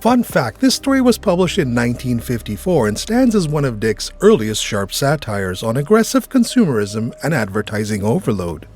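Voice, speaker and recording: A man's voice: medium pitch, very animated, moderate pace, hardly any pauses, a little mellow. A man hosting with scripted introductions and commentary. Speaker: host. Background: faint music.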